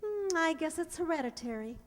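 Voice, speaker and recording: A woman's voice: very high voice, animated, brisk, a little hiss or hum. A woman playing a playful, coy young mother in a radio comedy, with a teasing, bantering manner. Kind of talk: stage-style radio comedy acting. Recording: reverberant hall stage recording.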